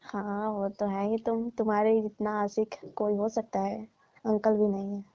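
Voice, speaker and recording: Woman playing a coaxing, mellow-voiced flirty auntie. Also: mid-range voice, monotone, measured pace, dry recording, close to an everyday voice. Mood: teasing. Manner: coaxing, teasing, playful. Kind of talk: staged comic phone-call conversation. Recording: dull, lo-fi phone call recording.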